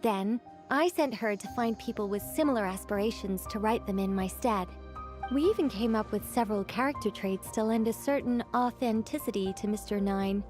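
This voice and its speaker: A woman voicing an elegant, composed woman who speaks in a measured and gently teasing way. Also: medium pitch, lightly acted. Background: faint game music.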